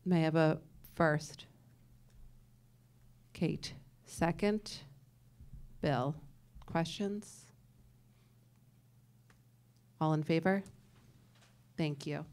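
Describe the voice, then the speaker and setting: A woman chairing a public board meeting, briskly calling motions and reading resolutions aloud in a large meeting room. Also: deep voice, darkish tone. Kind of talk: formal meeting procedure.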